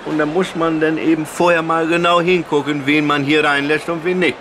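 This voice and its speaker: A man's voice: fairly high pitch, flat delivery, a little fast, fairly bright tone, slight background noise, close to an everyday voice. An actor playing an officious, matter-of-fact male throat doctor in a radio comedy interview, pompous with jargon and blunt in asides.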